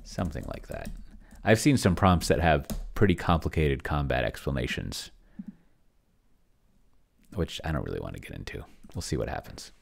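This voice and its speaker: A middle-aged man with a very deep voice that often drops into fry, yet swings freely up and down. He talks casually through a solo roleplaying session at his computer, halting and muttering as he thinks aloud. Keys can be heard as he types. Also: very animated. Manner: halting, muttering to himself while working. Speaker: solo tabletop roleplayer testing an AI game master. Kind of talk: casual screen-share commentary, thinking aloud. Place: indoors at a desk in a home room.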